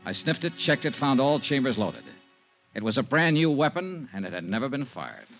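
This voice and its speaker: Wry, tough radio detective, clipped delivery, wide-ranging pitch, muffled vintage recording. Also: male voice, very animated, moderate pace, music in the background. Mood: matter-of-fact narrating. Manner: clipped, sardonic, hardboiled. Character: tough, wry male insurance investigator, cool under threat. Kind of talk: 1950s radio detective drama acting with first-person narration.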